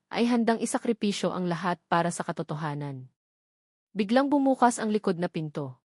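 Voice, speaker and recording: A woman narrator with a Filipino accent delivers a scripted dramatic story. Her voice sits at a medium pitch, and the recording is dry, with hardly any room sound. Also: darkish tone, no room sound.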